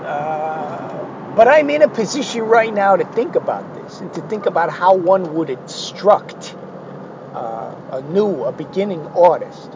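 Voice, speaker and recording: Hoarse, high-voiced man rambling. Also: dull audio, noisy background. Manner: digressive, hesitant with many fillers, sardonic asides. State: hoarse, losing his voice. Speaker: art instructor. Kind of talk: rambling spoken art lesson.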